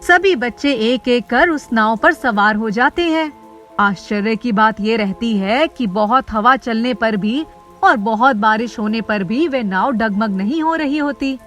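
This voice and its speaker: A woman with a fairly high voice narrates a scripted children's story. She reads as the storyteller, with music playing behind her. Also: measured pace, fairly bright tone.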